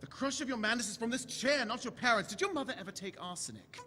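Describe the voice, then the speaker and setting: A man playing an eccentric, inquisitive time-traveling doctor in a staged audio drama, chatty and brisk with theatrical swings. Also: very high voice, animated, sharp tone, full character voice. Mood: earnest, insistent. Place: large convention hall stage. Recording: echoey hall stage recording with noise.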